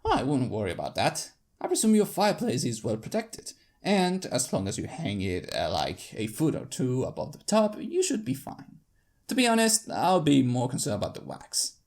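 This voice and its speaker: A narrator reading scripted first-person fiction in character, with deliberate, dramatic phrasing. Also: mid-range voice, wildly swooping pitch, darkish tone. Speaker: fanfiction narrator.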